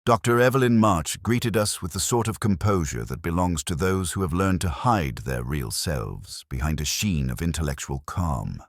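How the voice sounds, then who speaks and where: A man playing a brooding, weary police inspector, narrating a literary detective audio drama in measured, contemplative phrases. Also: low voice, expressive, dry recording, studio quality.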